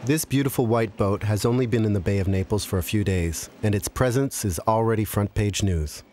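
A man's voice: fairly low pitch, a little fast, darkish tone, slight background noise. A man reading scripted documentary narration as the narrator. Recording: music bed under voice. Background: music.

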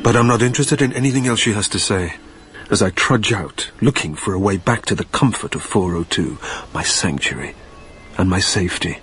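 A man's voice: fairly low pitch, fairly quick, a bit bright, slight background noise, natural voice. An actor playing a lonely, troubled dead man who narrates in a brooding, literary manner in a radio drama.

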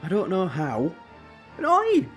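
An eccentric time traveller acted by a woman in a sci-fi audio drama. Her voice is low and swings widely as she gets exasperated and teasing, with music behind her. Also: wildly swooping pitch, unhurried. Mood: exasperated, defensive. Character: brisk, eccentric, exasperated time-travelling alien adventurer, female.

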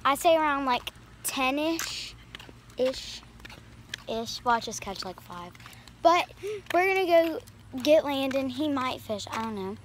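A woman's voice: high-pitched, expressive, takes it slowly, pauses often, slight background noise. A female vlogger chatting casually outdoors by a small creek into a handheld camera, rambling with lots of fillers. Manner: rambling, filler-heavy, upbeat. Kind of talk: casual vlog chat.

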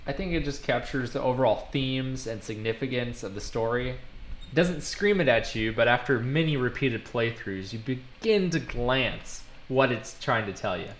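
A man's voice: medium pitch, moderate pace, slight background noise. A young man narrating a casual vlog in a wry, self-deprecating way. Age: young adult. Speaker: vlogger, game developer.

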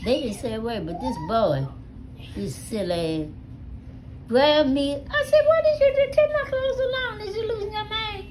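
An elderly American woman telling stories casually to family, with a salty, animated manner, recorded on a phone at home. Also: high voice, normal speed, slight background noise, poor audio. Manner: animated, salty, acts out dialogue with swearing. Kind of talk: casual storytelling among family. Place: small living room at home. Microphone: phone held near her.

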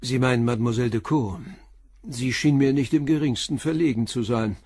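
An actor playing a calm, probing police inspector in a radio play, questioning a suspect in a measured, polite way with a husky voice. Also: male voice, medium pitch, dry recording, studio quality, lightly acted. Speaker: detective.

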